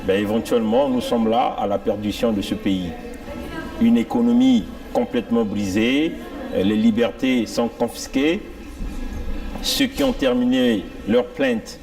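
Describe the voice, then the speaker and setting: A man's voice: fairly low pitch, warm tone. A man speaking as a political guest in a TV interview, grave and hesitant as he lists grievances. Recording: distant mic with faint music.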